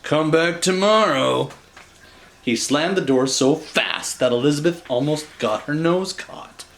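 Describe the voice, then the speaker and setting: A man reading a children's bedtime story in an animated style, with wry, joking casual asides. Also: fairly high pitch, measured pace, slight background noise.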